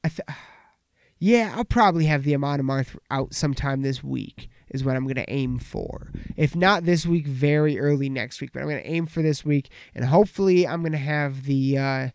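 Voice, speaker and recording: A young man with a head cold hosts a podcast, talking in a loose, rambling and profane way. His gravelly voice sits a little high and comes through crisp. The room sounds dry and close. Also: moderate pace, a little mellow, dry recording. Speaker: podcast host. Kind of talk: casual podcast chat.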